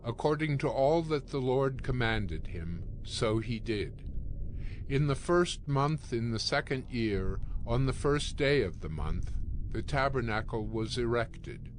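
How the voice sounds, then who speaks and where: A man narrating scripture from a script in a measured, solemn reading manner. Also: medium pitch, expressive, a little hiss or hum, rough recording. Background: music.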